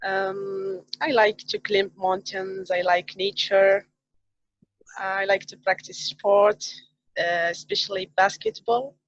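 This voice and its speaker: A woman in her thirties from Morocco, a science teacher, retelling a film on a video call in halting English with many fillers. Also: medium pitch, animated, no room sound. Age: 30s. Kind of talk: casual storytelling on a livestream. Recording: dull lo-fi call audio.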